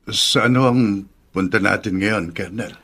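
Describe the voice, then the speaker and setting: A man's voice: lowish voice, measured pace. A man with a Filipino accent playing a respectful, deferential driver in a radio drama. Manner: respectful, polite. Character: deferential male driver to a colonel. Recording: very noisy track with background ambience.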